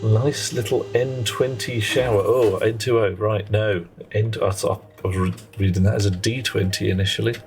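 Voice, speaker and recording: A man's voice: on the low side, fairly even intonation, normal speed, few pauses, darkish tone, a little hiss or hum. A man streaming a game live, chatting with viewers in a rambling way and often correcting himself mid-sentence. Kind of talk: casual live gameplay commentary. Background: faint music and a child playing nearby.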